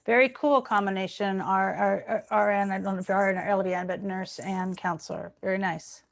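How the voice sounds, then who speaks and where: A woman's voice: medium pitch, steady tone, a little fast, gravelly. A woman facilitating an online workshop, answering each introduction with short, upbeat praise.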